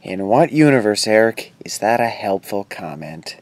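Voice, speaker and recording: A man playing a patronizing authority figure at a mental institute in an audio drama, speaking in a measured manner. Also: fairly low pitch, lively intonation, dry recording. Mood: reproving.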